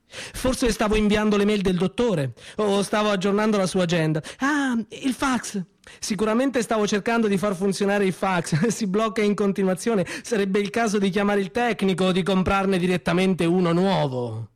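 A man acting a male office secretary who ironically denies seeing anything, delivering a theatrical monologue with mock-innocent denials and measured pauses. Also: high-pitched, lightly acted. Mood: sly, ironic. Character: male office secretary feigning ignorance, sly. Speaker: stage actor.

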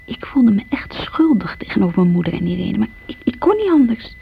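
An actress playing a lonely, guilt-ridden younger daughter in a Dutch radio drama, confessing hesitantly in a creaky, sometimes breathy voice. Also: female voice, mid-range voice, big pitch swings, brisk. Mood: guilty, wistful. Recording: muffled, noisy old radio recording.

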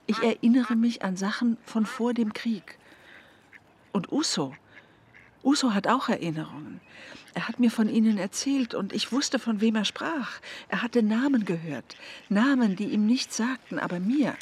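An actress playing an earnest, intense woman with spiritual convictions in a radio drama, insistent and at times hushed. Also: on the high side, expressive, normal speed, slightly rough. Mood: earnest, confiding. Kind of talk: naturalistic radio drama dialogue.

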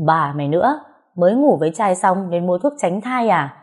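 Burly female street bully for audio story, played by a woman narrator: taunting, threatening, loud and coarse. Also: fairly low pitch, animated, moderate pace, husky. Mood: loud, accusing. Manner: taunting, threatening, barking rhetorical questions. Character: burly thuggish woman bully, menacing and foul-mouthed.

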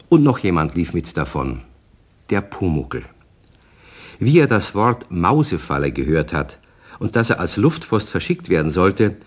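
A male storyteller narrates a children's radio play. His voice is very deep and husky, with lively, wide-ranging intonation in a scripted delivery.

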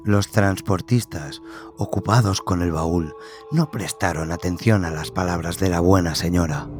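A man narrating a scripted horror story in a measured, suspenseful manner with dramatic pauses. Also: low voice. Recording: loud music under the voice. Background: loud music bed.

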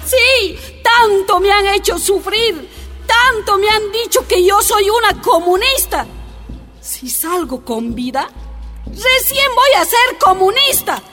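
A woman playing a suffering yet defiant imprisoned mother in a radio drama, halting and anguished. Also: very high-pitched, lively intonation, pushed and bright, faint music behind, heavily acted.

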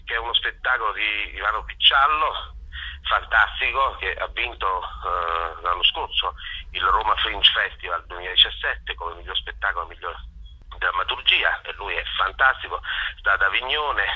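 Bright, husky low-voiced man, enthusiastic. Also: moderate pace, lo-fi sound. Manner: enthusiastic, effusive, hesitant with frequent self-corrections. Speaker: festival director being interviewed. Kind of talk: informal promotional interview, speaking off the cuff.

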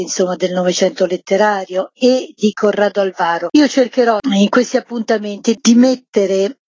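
A woman's voice: mid-range voice, darkish tone, husky, dry recording. A woman, a theatre artistic director, explaining a project in a discursive interview style full of small asides. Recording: dull, band-limited radio interview.

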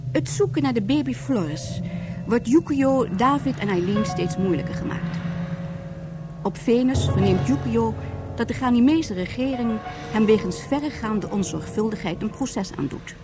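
A woman's voice: on the high side, lively intonation, normal speed. A woman reading scripted narration for a science fiction radio drama. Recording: faint music behind voice.

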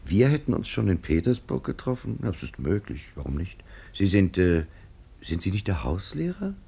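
Snooty marquis, radio drama, deep n raspy gravelly, couldn't care less. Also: male voice, very animated, normal speed, warm tone, full character voice. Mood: haughty, dismissive. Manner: haughty, nonchalant. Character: arrogant, dismissive French marquis.

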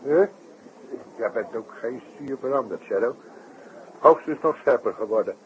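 An actor playing an excitable, worried circus performer in a 1960s radio drama, emphatic and chummy in manner. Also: male voice, mid-range voice, normal speed, natural voice. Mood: chummy, excited. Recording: muffled, noisy 1960s radio recording.

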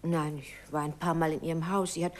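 An actress playing an evasive, nervous young girl in a 1950s German radio drama, speaking haltingly and guardedly in a breathy voice. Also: low voice, level tone, natural voice. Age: young adult.

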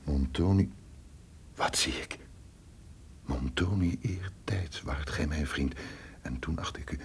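An actor playing a scheming, suspicious conspirator who feigns friendship, with formal theatrical delivery in a gothic radio drama. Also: male voice, a bass voice, takes it slowly, slight background noise, heavily acted. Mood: vengeful. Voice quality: gravelly, husky. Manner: formal, theatrical, breaking into tense muttering.

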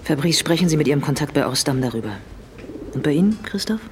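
An actor in a radio drama playing a brisk, commanding police chief. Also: male voice, on the high side, expressive, slight background noise, natural voice. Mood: brisk, in charge. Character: brisk male police chief directing his team.

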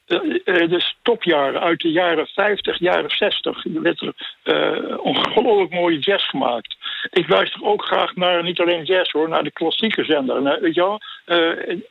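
A man of seventy-seven calling a radio show by telephone, halting and searching for his words. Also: a little high, vocal fry, husky, dry recording. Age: 70s. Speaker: phone-in caller. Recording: tinny phone line.

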